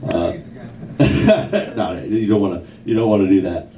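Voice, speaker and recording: A man in his fifties works a small bar crowd with a loose, rambling stand-up set, padding his lines with "man" and "bro." His pitch swings widely. The mic sits some way off in a roomy space, with laughter coming back at him. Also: animated, measured pace, mellow, distant mic, dull audio, faint music behind. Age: 50s. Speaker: stand-up comedian. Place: small kava bar comedy night. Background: audience laughter and heckles.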